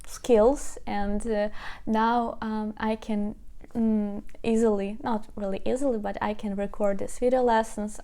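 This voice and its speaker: A young woman whose first language is Ukrainian, a language teacher speaking earnestly to her viewers in hesitant English with many pauses and fillers. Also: mid-range voice, dark tone. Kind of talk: personal talk to camera.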